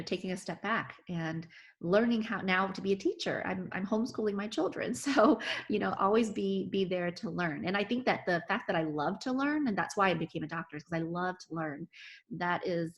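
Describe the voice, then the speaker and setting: Earnest woman doctor, lower voice. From United States. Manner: earnest, many restarts and fillers. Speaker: physician interviewee. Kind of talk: oral history interview.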